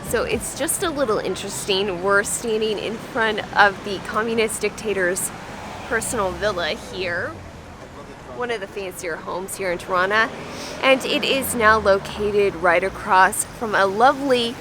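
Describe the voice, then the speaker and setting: A woman co-hosting a travel vlog, narrating casually and exclaiming with excitement. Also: medium pitch, unhurried, background noise. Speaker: travel vlogger co-host.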